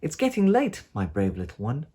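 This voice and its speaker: A woman voicing a gentle, affectionate parent coaxing a child to bed in a children's storybook. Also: very deep voice, huge pitch swings, normal speed, husky, pitched above the performer's own voice, heavily acted.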